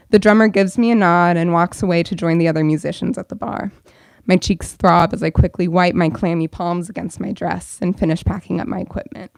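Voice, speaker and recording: A woman's voice: lowish voice, vocal fry, no echo, clean studio sound. A young woman, a bookstore events director, welcoming an audience and then reading her own fiction aloud at a store event.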